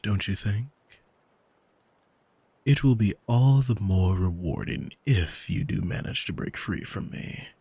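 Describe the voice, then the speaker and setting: A man playing an arrogant demon in an ASMR audio drama, smug and teasing, speaking deliberately and intimately to the listener with a husky voice, close on the microphone. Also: fairly low pitch, darkish tone, dry recording, full character voice. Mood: taunting. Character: arrogant male demon who owns a soul, smug, taunting. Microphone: close studio microphone, dry.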